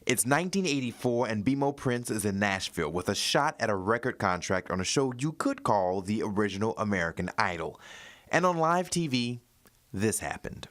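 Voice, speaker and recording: A man's voice: lowish voice, very animated, moderate pace, a bit bright. A man working as a TV news reporter, delivering a feature in a polished broadcast style. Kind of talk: scripted news feature narration with some studio chat.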